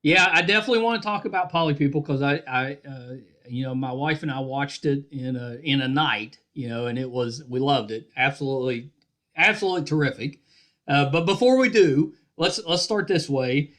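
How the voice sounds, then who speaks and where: A man's voice: medium pitch, brisk, a bit bright. A man in his thirties hosting a casual interview in an easygoing way, repeating phrases for emphasis. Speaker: podcast host, interviewer.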